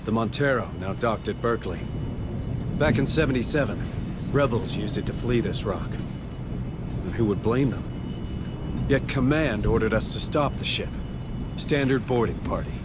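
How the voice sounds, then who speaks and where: Over rumbling background noise comes a weary, gruff marine sergeant played by a man from a sci-fi game. He talks curtly and drily, with determination. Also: moderate pace, pauses often, natural voice. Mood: dry, resolute. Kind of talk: video game cutscene voice acting.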